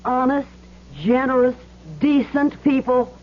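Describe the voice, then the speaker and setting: A woman's voice: sits high, flat delivery, takes it slowly. An actress playing a tough but good-hearted young woman gambler in an earnest, emphatic, slangy way for a 1970s radio drama. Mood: pleading, insistent. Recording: muffled, hissy old radio recording with hum.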